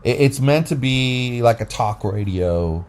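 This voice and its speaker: A man hosting a casual podcast with his wife, talking in a deep, bassy voice and rambling loosely with restarts and fillers. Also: expressive, measured pace, a little mellow. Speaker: podcast host. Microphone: phone app recording.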